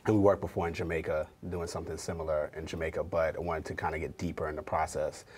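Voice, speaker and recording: Deep-voiced guy in his 30s, earnest, lots of ums, studio interview. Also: level tone, fast talker, darkish tone, husky. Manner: thoughtful, filler-heavy, earnest. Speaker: artist and curator guest. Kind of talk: casual interview talk. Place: TV studio, indoors.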